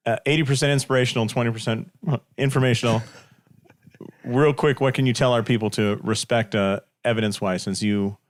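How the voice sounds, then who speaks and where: Male interviewer, mid-pitched, playful and teasing, casual podcast chat with a dry sound. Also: steady tone, a little fast, dry recording.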